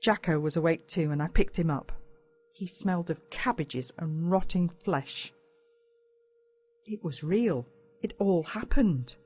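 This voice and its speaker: A woman with an Australian accent narrates a children's story in the first person, in a dramatic, expressive way. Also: on the low side, a little slow, long pauses, slightly rough. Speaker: audiobook narrator.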